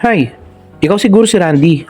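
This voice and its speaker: A man's voice: high-pitched, animated, brisk, mellow, slightly rough, soft background music, voice pushed up. A Filipino man voicing a shy, charming male model in his early twenties in a romance story. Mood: shy, friendly.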